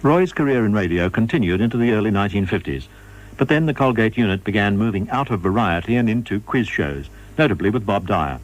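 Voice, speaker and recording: A man's voice: fairly low pitch, expressive, brisk, rough recording. A man reading scripted narration for a radio documentary.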